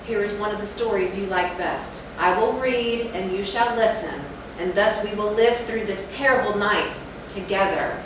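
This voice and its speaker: A woman's voice: mid-range voice, moderate pace, hardly any pauses, natural voice. A woman playing an anxious, protective companion in a Gothic tale, with formal, commanding stage phrasing. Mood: soothing. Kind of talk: theatrical readers theatre acting. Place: theatre stage, recorded from a distance. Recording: echoey hall, distant mic, clear noise.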